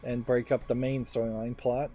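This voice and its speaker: A man's voice: medium pitch, flat delivery, normal speed, over music. A man giving game commentary, thinking aloud in an analytical way. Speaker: let's play streamer.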